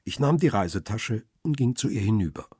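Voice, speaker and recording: A middle-aged family man narrates a radio crime drama in a low, very raspy voice. His storytelling is measured and reflective, recorded dry and close. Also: animated, a little mellow, dry recording. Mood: reflective, tender. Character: middle-aged male paparazzo, devoted husband and father, reflective. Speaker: narrator and lead actor.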